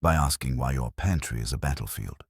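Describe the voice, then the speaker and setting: A man voicing a sharp, sardonic female journalist in a detective story, arch and pointed. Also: extremely low pitch, brisk, slightly rough, no room sound, clean studio sound. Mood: arch, challenging.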